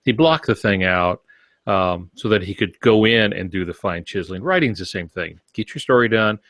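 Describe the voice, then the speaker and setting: An older American man co-hosting a podcast, giving measured, conversational explanations and writing advice with anecdotes. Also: lowish voice, fairly bright tone, no echo. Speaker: podcast co-host.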